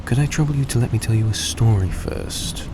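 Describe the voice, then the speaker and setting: A man playing a suave, tender centuries-old vampire in a roleplay audio drama, speaking in a soft, coaxing, genteel way to the listener. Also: mid-range voice, a little fast, close to an everyday voice. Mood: apologetic, gracious. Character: suave, gracious centuries-old male vampire, young-looking, tender and grateful. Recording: steady background noise, vehicle-like ambience.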